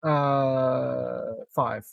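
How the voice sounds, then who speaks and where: A man's voice: medium pitch, fairly even intonation, very slow, gravelly, no room sound, narrow, tinny audio. A man chatting casually as a player in a tabletop roleplaying game, laid-back and a little hesitant.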